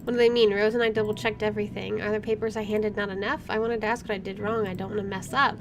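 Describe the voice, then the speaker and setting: A woman's voice: medium pitch, flat delivery, fast, background noise. A woman doing gameplay commentary, reading a visual novel aloud and reacting casually.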